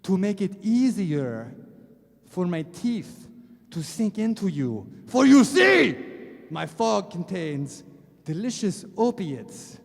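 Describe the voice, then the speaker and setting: A man voicing a gleeful, flesh-eating zombie lord puppet in a theatrical comic act, with a gravelly voice and a grandiose, menacing manner. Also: high voice, very animated, exaggerated voice. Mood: sly, menacing. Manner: mock-grandiose, menacing, drawn-out delivery. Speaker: puppet show performer and singer. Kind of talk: theatrical comic monologue and song. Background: music.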